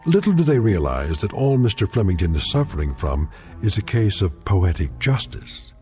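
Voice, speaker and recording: An actor narrating a radio drama as a sardonic, ominous storyteller with dry, deliberate irony. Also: male voice, fairly low pitch, big pitch swings, dark tone, faint music behind. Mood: wry, ominous.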